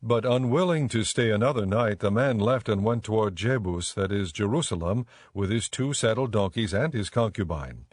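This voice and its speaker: A man giving measured, solemn scripted narration for a dramatized Bible audiobook. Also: on the low side, animated, dry recording, studio quality.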